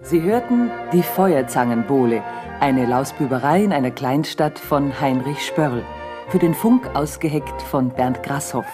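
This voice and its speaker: Low-voiced woman announcer over music. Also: animated, measured pace. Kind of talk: formal scripted radio credits. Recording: music behind the voice.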